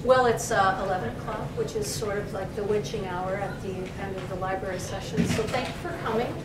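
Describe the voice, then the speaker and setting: A woman's voice: medium pitch, steady tone, fairly quick. Female academic, earnest and searching for words, heard from a distance in an echoey room. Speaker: professor and co-editor giving a library talk. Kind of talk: discussion in an academic talk. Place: room in a university library. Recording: echoey room, distant mic, background noise.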